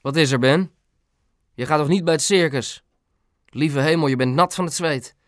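A man's voice: fairly high pitch, normal speed, no echo, studio quality, lightly acted. A man voicing a plain-spoken, henpecked father with an earnest manner in an audiobook. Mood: surprised, delighted. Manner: earnest, meek with his wife. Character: plain-spoken, henpecked working-class dad, security guard. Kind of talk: audiobook character voice.

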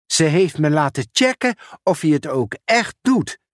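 A man reading a storybook aloud, voicing an excitable male sorting office manager who talks in a raised, exclaiming voice. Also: measured pace, no room sound, clean studio sound. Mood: excited. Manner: excited, high-pitched, exclaiming.

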